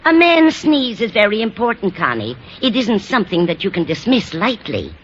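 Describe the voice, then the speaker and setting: Fussy, lively older landlady, old radio. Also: mid-range voice, normal speed. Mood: earnest, meddlesome. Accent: American. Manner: coaxing, earnest advice-giving. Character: fussy, motherly older landlady, eager and meddlesome. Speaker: actress in a radio sitcom. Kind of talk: 1940s-50s American radio comedy acting. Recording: muffled, hissy vintage radio recording.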